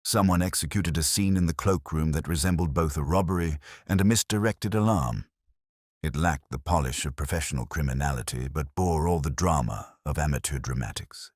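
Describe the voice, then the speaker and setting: A man voicing a calm, courteous police inspector in an audiobook mystery, formal, dryly understated and quietly probing. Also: extremely low pitch, brisk, dry recording, clean studio sound. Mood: courteous instruction.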